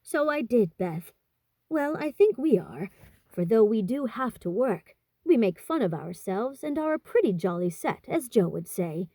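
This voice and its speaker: A woman's voice: fairly high pitch, very animated, unhurried, warm tone, no echo, exaggerated voice. A woman voicing a proper, motherly teenage eldest sister in a storybook, earnest and inclined to lecture. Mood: wistful, chiding. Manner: earnest, chiding.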